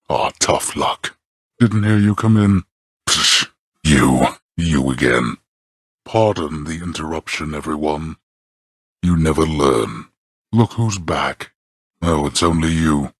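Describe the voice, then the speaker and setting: Game casting: stern god of the underworld, bottomless bass with a husky rasp, imperious, disdainful, archaic in diction. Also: male voice, a bit bright, no room sound, clean studio sound, heavily acted. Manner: haughty, scornful, archaic formal diction. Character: stern, contemptuous god of the dead, imperious father. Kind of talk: video game character voice acting.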